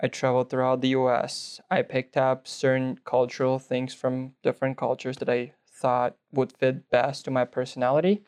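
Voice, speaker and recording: Young male guest from Belarus, Russian native, smooth clear voice, earnest answers. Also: medium pitch, a little mellow. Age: young adult. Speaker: guest, nursing student. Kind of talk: interview answers.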